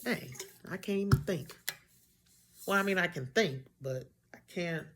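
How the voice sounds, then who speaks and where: A young woman with a deep voice, talking candidly to camera in a rambling way, often losing her train of thought and correcting herself. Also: a little slow, slight background noise. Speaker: vlogger. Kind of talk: candid personal talk to camera.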